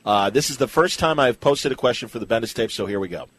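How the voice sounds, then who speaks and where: A man with a mid-pitched, very animated voice hosts a podcast. He chats casually and reads listener questions aloud, his intonation moving up and down a lot. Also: quick pace, a little hiss or hum. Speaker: podcast host and interviewer.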